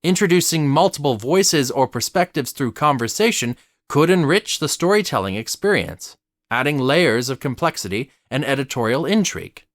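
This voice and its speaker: A man narrating a scripted personal essay as a theatre commentator, articulate and formal, with measured phrasing. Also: mid-range voice, a bit bright, no room sound, studio quality.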